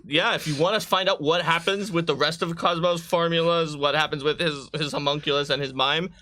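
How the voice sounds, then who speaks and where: A man's voice: fairly high pitch, fairly even intonation, fairly quick, bright, forceful tone. A man co-hosting a tabletop game stream, casually explaining rules and reading out options in live chat.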